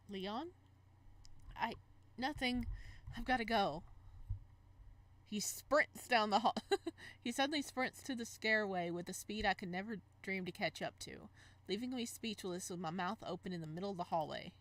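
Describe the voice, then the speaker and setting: A woman lets-player reads a visual novel aloud in an expressive way. She drops into casual, reactive commentary between passages and now and then stumbles over the words. Her pitch sits in a middle range for a woman. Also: normal speed. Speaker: game streamer, let's player.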